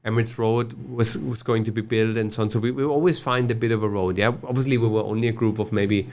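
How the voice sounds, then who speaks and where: A man around fifty from Germany with a German accent, a podcast guest telling stories in a relaxed way and often restarting his sentences. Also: fairly low pitch, fast talker. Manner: relaxed storytelling with frequent restarts. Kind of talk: casual conversation.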